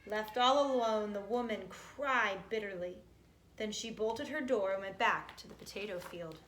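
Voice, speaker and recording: A woman's voice: medium pitch, normal speed, fairly bright tone, slight background noise. A woman reading a children's storybook aloud. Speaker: storybook reader. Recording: roomy, echoey home recording.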